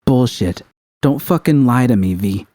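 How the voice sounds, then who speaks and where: A man's voice: medium pitch, animated, a little slow, warm tone, no room sound, studio quality, natural voice. A man voicing a blunt, worried, hot-tempered young woman who confronts her partner in audiobook dialogue. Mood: accusing, angry. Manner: profane, confrontational, pressing.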